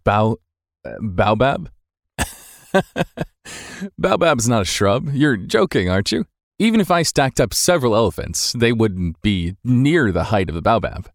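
A man plays an incredulous storybook pilot with lively, swooping intonation. He is friendly and stammers in amazement, recorded close and dry. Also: mid-range voice, a little slow, dry recording. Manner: incredulous, stuttering in surprise. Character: astonished, friendly adult male pilot. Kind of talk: acted children's audio fairy tale.

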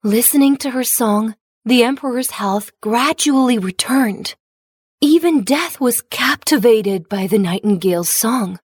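A woman's voice: fairly high pitch, measured pace, breathy edge, no room sound, clean studio sound. A woman narrator reading a children's fairy tale as scripted storybook narration.

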